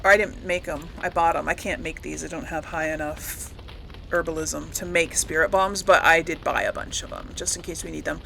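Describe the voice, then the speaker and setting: Bright-voiced woman chatting through a game. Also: sits low, fairly even intonation, brisk, creaky, a little hiss or hum, low-quality recording. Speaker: gaming host. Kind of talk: casual chatty game playthrough commentary.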